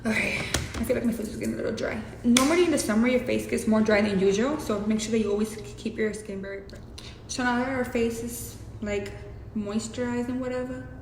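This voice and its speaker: A woman's voice: fairly high pitch, steady tone, fast. Profane chatty Mexican-accented woman vlogger. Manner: casual and profane, chatty asides. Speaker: beauty vlogger. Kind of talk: makeup tutorial. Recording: echoey room with hiss.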